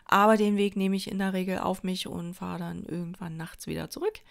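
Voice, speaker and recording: Chatty woman with a gravelly edge. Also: lowish voice, fairly even intonation, a little fast. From Berlin, Germany. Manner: chatty, rambling, many fillers and restarts. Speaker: crafting vlogger. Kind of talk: casual chat while crafting. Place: indoors at a table.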